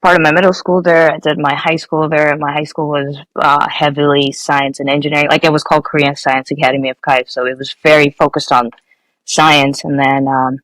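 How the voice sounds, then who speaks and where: A woman's voice: low-pitched, a bit bright, no echo, low-quality recording. A young woman from Nepal, a guest engineer, answering interview questions casually with many fillers and restarts.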